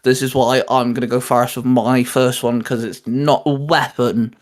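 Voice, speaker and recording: A man's voice: mid-range voice, level tone, normal speed. A man hosting a video in a casual, chatty way, explaining things in a rambling manner. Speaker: YouTube host discussing anime. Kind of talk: casual chat.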